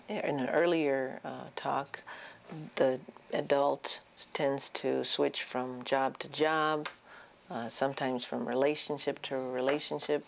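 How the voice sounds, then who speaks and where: A woman speaks in an extremely low register with a noticeable fry. She delivers an informal educational lecture as a doctor. Her explanations come haltingly, with frequent pauses as she goes. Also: a little hiss or hum. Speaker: psychiatrist presenting an educational talk.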